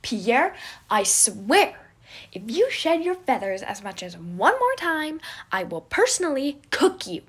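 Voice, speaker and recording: Woman acting out a loud, gleefully chaotic man. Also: high-pitched, very animated, takes it slowly, husky, voice pushed up, heavily acted. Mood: indignant scolding. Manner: loud, theatrical, exclaiming. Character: boisterous, gleefully chaotic eccentric man who scolds birds. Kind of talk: fan fiction audio story character.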